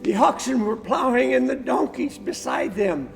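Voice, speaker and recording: A man playing a messenger with news of disaster in a church Bible drama, reciting in an animated way. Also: very high voice, normal speed, gravelly, full character voice. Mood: urgent news. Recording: reverberant hall, faint music behind.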